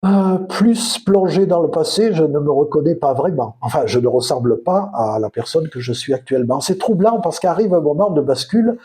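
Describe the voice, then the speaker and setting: A man's voice: on the high side, animated, normal speed, hardly any pauses, warm tone. A man in his fifties with a Provençal accent, hosting his own vlog in a reflective, rambling way with frequent hesitations. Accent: Provençal French. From southern France. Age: 50s. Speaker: channel host. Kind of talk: casual vlog monologue.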